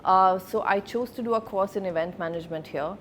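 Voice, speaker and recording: A woman in her thirties from Sweden, a film producer speaking reflectively as an interview guest, with many pauses and fillers. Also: mid-range voice, slight background noise.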